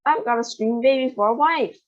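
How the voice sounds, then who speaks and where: An excitable old grandfather shouts in a raised, bouncing voice , as a woman reads him. He yells rather than talks. The sound is slightly muffled. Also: a little high, a little fast, pitched above the performer's own voice, natural voice. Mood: frantic. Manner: yelling, excited.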